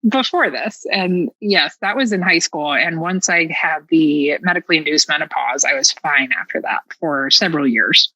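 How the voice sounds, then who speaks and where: A woman's voice: low-pitched, moderate pace, few pauses, bright, forceful tone, no echo, low-quality recording. A middle-aged American woman telling personal stories as a podcast guest, casual and candid, with a sarcastic, self-mocking streak and a habit of acting out dialogue.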